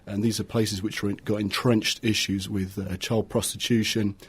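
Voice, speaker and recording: A man from Argentina with a low, husky voice speaks as a radio interview guest. His delivery is very even in pitch, earnest and explanatory, with words often repeated. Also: normal speed. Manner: earnest, with frequent repetition. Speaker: project assistant manager, guest.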